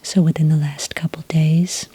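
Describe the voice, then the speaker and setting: A woman playing a calm, polite agent who takes sighting reports in a soft-spoken ASMR roleplay, breathy and whispery, with gentle, patient questioning. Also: deep voice, moderate pace, slight background noise, lightly acted. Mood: politely inquiring.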